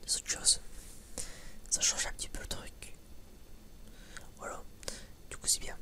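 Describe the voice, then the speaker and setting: A man whispering a casual, rambling ASMR chat, informal and sweary, hesitant and often stammering over repeated words. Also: a little slow. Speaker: ASMR video creator.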